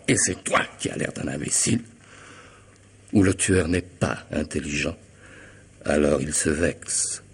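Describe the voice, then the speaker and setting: Husky, deep, menacing killer. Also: male voice, exaggerated voice. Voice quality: husky, gravelly voice. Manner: theatrical menace, swinging from hushed to loud. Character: menacing, vengeful male killer, mocking and theatrical. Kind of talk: French radio drama acting.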